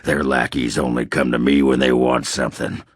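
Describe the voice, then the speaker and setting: Male fantasy game dwarf: boozy braggart warrior, rock-bottom pitch, husky rasp, crude swagger. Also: flat delivery, normal speed, no echo, studio quality. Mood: surly. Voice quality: very gravelly, rough, husky. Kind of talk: video game character acting.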